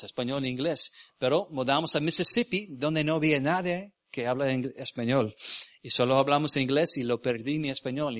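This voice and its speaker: A man born in Venezuela who grew up speaking English, a missionary preaching in Spanish with an American English accent, often halting to search for words and asking the congregation questions. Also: medium pitch, a bit bright, dry recording, studio quality. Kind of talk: sermon with personal stories. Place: church.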